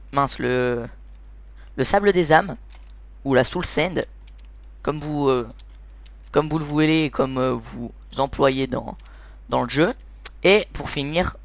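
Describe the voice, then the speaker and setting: Higher-voiced man, hesitant gaming commentary. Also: no echo. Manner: hesitant, often searching for words. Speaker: host of a gaming video series. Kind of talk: informal explainer commentary over gameplay.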